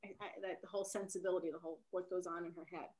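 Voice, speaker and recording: A woman's voice: fairly low pitch, level tone, very fast, a little mellow. A woman novelist whose first language is Italian, talking casually in an interview, often trailing off and rephrasing.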